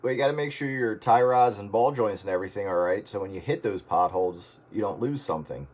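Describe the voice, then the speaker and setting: A man's voice: on the low side, lively intonation, quick pace, darkish tone. A man chatting casually over the radio. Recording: clearly noisy radio transmission.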